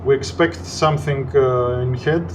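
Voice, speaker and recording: A man with a Greek accent, a non-native English speaker, narrating his gameplay in halting sentences full of "uh"s. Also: medium pitch, fairly even intonation, background noise. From Greece. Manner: halting, searching for words with frequent "uh". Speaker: gameplay commentator. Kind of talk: gameplay commentary.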